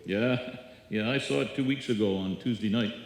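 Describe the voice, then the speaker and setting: A man playing a gloomy, deadpan maestro who wisecracks in a live old-time radio comedy. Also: lowish voice, moderate pace, natural voice. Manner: dry, deadpan punchlines. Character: gloomy, sardonic male maestro neighbour, wisecracking. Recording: echoey hall, live stage performance.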